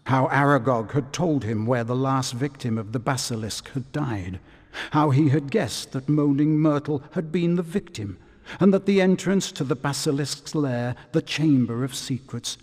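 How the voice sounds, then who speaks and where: A man narrating a video game story from a script in a measured, dramatic storytelling manner. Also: mid-range voice, a little mellow. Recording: long reverb.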